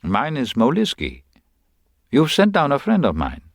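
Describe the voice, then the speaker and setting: A man's voice: mid-range voice, huge pitch swings, unhurried, creaky, no room sound, clean studio sound, pitched above the performer's own voice, heavily acted. A man voicing a dapper London gang leader in a radio drama, blunt and threatening in manner. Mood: menacing.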